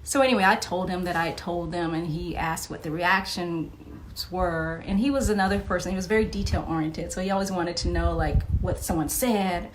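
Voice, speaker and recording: A woman, a podcast guest and author, telling stories in a casual interview, animated and laughing often, with many fillers. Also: on the low side, brisk, a little hiss or hum, rough recording.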